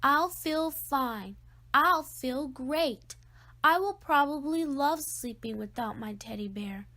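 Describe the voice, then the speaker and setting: A woman's voice: high voice, expressive, takes it slowly, bright, forceful tone, heavily acted. A woman voicing a young boy in a children's storybook, earnest and insistent, anxious underneath his bravado. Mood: defiant. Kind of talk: children's storybook read-aloud.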